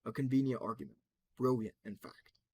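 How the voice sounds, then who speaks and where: A man voicing a cold, scheming male scientist in a low, quiet, sardonic tone. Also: steady tone, fairly quick, gravelly, slightly rough, dry recording.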